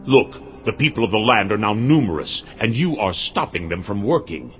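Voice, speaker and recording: A man's voice: fairly low pitch, sing-song, moderate pace, dull audio, faint music behind. A man voicing Pharaoh, a proud king who speaks scornfully. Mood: haughty. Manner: haughty, scornful.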